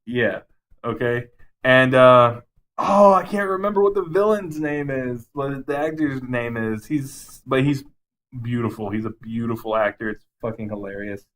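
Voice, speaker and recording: Man, medium pitch, podcast co-host, casual chat, enthusiastic, rambling, mildly sweary. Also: normal speed, a bit bright. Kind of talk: casual chat, ranking favorite game movies.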